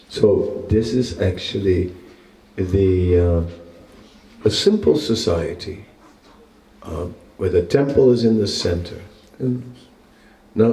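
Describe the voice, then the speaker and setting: An older man speaks slowly into a stand mic in a small temple room, his lowish, mellow voice carrying a roomy echo. He talks in a conversational, wry way and often chuckles at his own jokes. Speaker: monk lecturer. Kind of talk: relaxed scripture class. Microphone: stand mic close in front.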